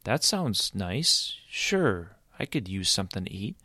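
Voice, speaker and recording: A man's voice: deep voice, big pitch swings, unhurried, pushed and bright, dry recording, studio quality, heavily acted. A man voicing a cautious, polite young man exploring underground.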